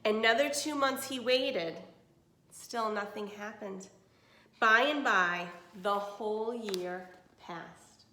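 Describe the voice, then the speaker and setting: A woman reading a children's story aloud with expression, pausing to explain and put questions to her listeners. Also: medium pitch, sharp tone, reverberant. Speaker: teacher reading a picture book aloud.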